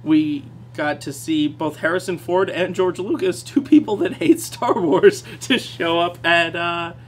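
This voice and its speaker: Excitable man, fairly high voice, laughs a lot, faint hum. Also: a little slow. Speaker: podcast co-host. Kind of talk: casual chat.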